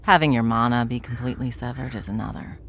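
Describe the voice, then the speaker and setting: A woman playing a smug, world-weary veteran witch in a fantasy audio roleplay, dry, condescending and unhurried. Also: rumbling low, a bit bright, a little hiss or hum. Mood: cool, lecturing.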